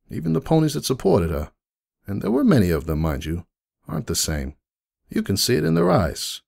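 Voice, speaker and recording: A man's voice: lowish voice, creaky, darkish tone, exaggerated voice. A man voicing a bitter, grieving middle-aged pony who runs a cafe, weary and anguished, in a dramatic story reading. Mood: bitter, sickened. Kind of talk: dramatic fan fiction reading in first person.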